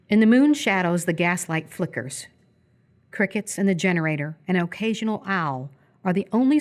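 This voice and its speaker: A woman narrates a live radio play from a script. Her voice is on the low side as she reads out stage directions in the present tense.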